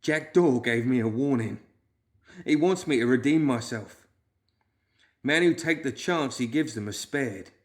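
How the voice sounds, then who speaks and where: Man voicing a whimpering frightened clerk, high strained voice, storybook reading. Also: measured pace, close to an everyday voice. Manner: whimpering, wailing. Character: frightened nervous male clerk.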